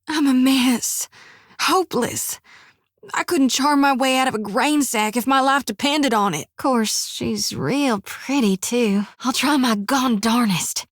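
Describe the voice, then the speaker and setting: A bashful young priestess. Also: female voice, sits high, unhurried, dry recording, clean studio sound. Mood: wistful. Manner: earnest, bashful, self-deprecating. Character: earnest young woman priestess companion, shy and lovestruck. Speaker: game companion. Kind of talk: video game voice acting. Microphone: dry close studio mic.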